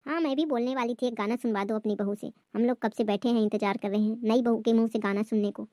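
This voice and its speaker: A woman's voice: on the high side, fairly even intonation, fast talker, mellow, no room sound, studio quality, close to an everyday voice. A woman playing a fault-finding, gossipy auntie with a complaining manner in a family comedy skit. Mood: needling.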